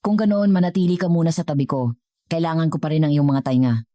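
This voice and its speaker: A woman voicing a stern, wounded old chairman and father in a grave, deliberate way for an audio story. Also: low-pitched, dark tone, no echo, natural voice. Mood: kindly.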